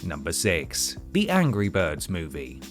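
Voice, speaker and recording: A man's voice: low voice, wildly swooping pitch, unhurried, soft background music. A man hosting a video countdown, reading scripted comedic narration with wry, sarcastic asides.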